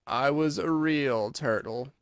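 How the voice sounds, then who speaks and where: A man voicing a melancholy old mock turtle in a storybook, sighing and self-pitying, with a slow, drawn-out, mournful delivery. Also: on the high side, fairly bright tone, clear, resonant tone, no echo, studio quality.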